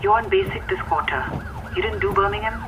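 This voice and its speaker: An actress playing a brisk, exasperated office boss in a radio drama, curt and challenging. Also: female voice, medium pitch, dull audio, noisy background, close to an everyday voice.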